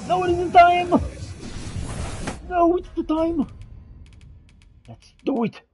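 A man's voice: very high-pitched, slow, darkish tone, slight background noise. A man giving theatrical, in-character commentary as he plays a video game. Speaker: gamer roleplaying during gameplay. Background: video game ambience.

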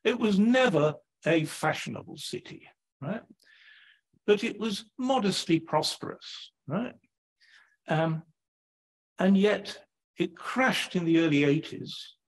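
An older man with an English accent speaks at a lectern microphone in a lecture hall. His voice sits fairly high and swings widely in pitch as he talks. The tone is a little husky, and the delivery is chatty and animated. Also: takes it slowly, long pauses. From England, Sheffield. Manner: animated, chatty, frequent "right?" tags. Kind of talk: public lecture.

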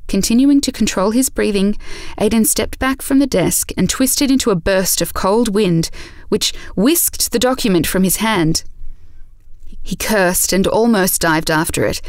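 Woman narrator for audio drama, measured storytelling, medium pitch, no room sound at all. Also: a little mellow, no echo.

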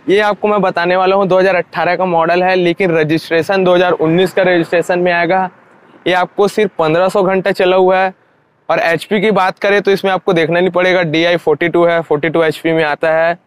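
A man's voice: high voice, flat delivery, fairly quick, clear, resonant tone. A young man from India, giving an informal, repetitive and explanatory sales walkaround as a vlogger. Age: young adult. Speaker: vlogger presenting used tractors. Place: outdoors at a roadside tractor dealership.

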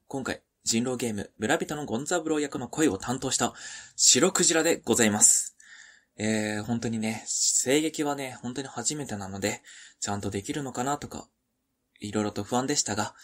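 A man giving an informal comment to listeners as an amateur streamer, humble, apologetic and effusively thankful. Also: mid-range voice.

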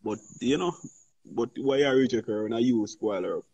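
A man's voice: mid-range voice, normal speed, a little mellow, slightly rough, dry recording, lo-fi sound. A man in a casual chat, mostly chiming in with short agreeing interjections.

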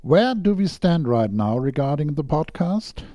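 A man's voice: fairly high pitch, very animated, measured pace, dark tone, no room sound, clean studio sound. A man working as a podcast interviewer, speaking in prepared questions and remarks. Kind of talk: scripted interview questions and sign-off.

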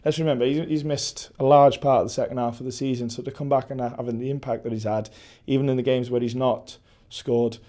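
A Welsh football coach in his forties answers post-match questions indoors, close to the mic. His voice is mellow and dark, and his delivery stays level, with frequent restarts and fillers as he thinks aloud. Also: mid-range voice, fairly even intonation, fast, few pauses. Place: indoor press backdrop at a stadium.

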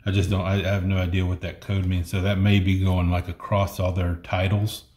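A man's voice: deep voice, level tone, a little fast, darkish tone. A middle-aged man sorting through old comics at a table, reading out issue numbers and muttering comments as he goes. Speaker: comic collection unboxing host. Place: indoor room at a table. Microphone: fixed camera across the table. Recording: echoey room, faint noise.

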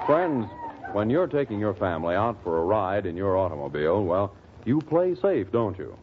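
A man working as a radio announcer, reading in an old-time sponsor-pitch style. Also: big pitch swings, moderate pace, very noisy. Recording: tinny, band-limited old radio recording.

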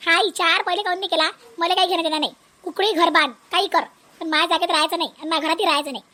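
A woman's voice: very high voice, fairly even intonation, racing, pushed and bright. A woman playing a domineering, spiteful elderly mother-in-law in a village comedy cartoon, scolding in a Vidarbha Marathi accent. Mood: angry, dismissive. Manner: scolding, commanding, repetitive.

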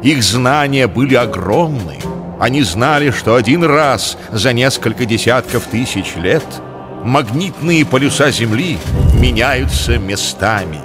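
A man's voice: mid-range voice, animated, a little slow, natural voice. A man voicing a grave, ceremonious polar bear elder of an ancient brotherhood in a cartoon. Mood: grave, reverent. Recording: music under the voice.

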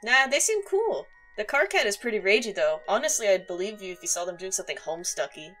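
A woman plays a breezy young female fan in a cartoon dub. Her voice is bright and medium-pitched, with a casual, teasing, slangy delivery. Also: animated, fast, soft background music. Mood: easygoing. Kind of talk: animated comic dub voice acting.

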